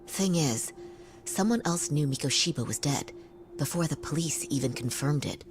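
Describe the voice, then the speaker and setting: Very low-voiced, composed attorney woman. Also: lively intonation, moderate pace. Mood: analytical. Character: serious, composed female defense attorney. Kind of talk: video game cutscene dub dialogue. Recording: game music under the voice. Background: music.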